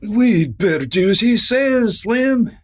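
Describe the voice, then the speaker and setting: A man as a high-voiced, jittery cowboy. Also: lively intonation, slow pace, exaggerated voice. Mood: scared, awed. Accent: American. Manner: nervous stammer. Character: frightened, stammering ranch cowboy. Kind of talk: radio western acting.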